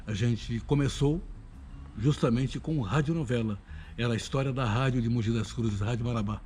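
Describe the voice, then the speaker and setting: Older man, Brazilian accent, lowish pitch, veteran actor, interview talk, faint ambient noise. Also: mellow.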